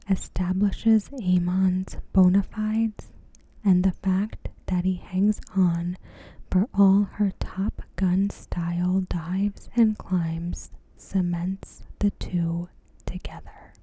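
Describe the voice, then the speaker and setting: A woman ASMR host reads a review in a soft, breathy, unvoiced whisper with a deliberate delivery. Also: fairly low pitch, no echo.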